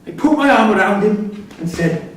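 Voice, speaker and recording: A man's voice: sits high, lively intonation, normal speed, a bit bright. Actor as wry male prisoner in echoey room. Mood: droll. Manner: droll, gossipy storytelling. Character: wry, chatty male prisoner telling anecdotes. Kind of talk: staged reading of a prison comedy-drama. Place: theatre room at a live staged reading. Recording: distant, very echoey hall, faint noise.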